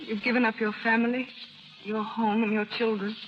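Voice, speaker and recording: A woman's voice: fairly high pitch, flat delivery, a little fast, over music, lightly acted. An actress playing a troubled, hesitant woman in love in 1930s radio drama style. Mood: hesitant, tender. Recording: muffled, noisy 1930s radio recording.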